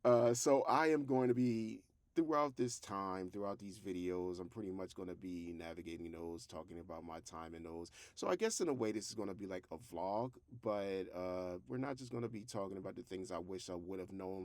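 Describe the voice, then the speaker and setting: Low-voiced man in a casual vlog. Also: a little mellow, no echo. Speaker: host, fiction podcast creator. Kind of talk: casual vlog talk to camera.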